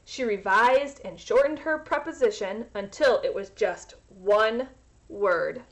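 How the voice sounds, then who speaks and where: A woman reading a children's storybook aloud in an expressive, teacherly way, pausing to explain words to young listeners. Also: mid-range voice.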